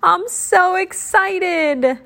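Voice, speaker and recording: A woman voicing an excited, eager young girl genie in training from a children's storybook. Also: sits high, a little slow, pitched above the performer's own voice, exaggerated voice. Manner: exclaiming, eager.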